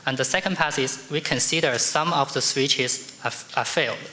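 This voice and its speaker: Man, bright voice. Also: mid-range voice, slight background noise, poor audio. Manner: halting, with repeated fillers and restarts. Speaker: conference presenter answering questions. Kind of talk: Q&A answers. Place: large conference hall.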